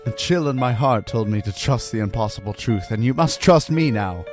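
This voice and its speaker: A man's voice: mid-range voice, expressive, normal speed, close to an everyday voice. A man playing a haunted husband and father, narrating a first-person horror story in an ominous, earnest and theatrical manner. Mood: urgent warning. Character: haunted husband and father confessing a terrifying ordeal. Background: background music.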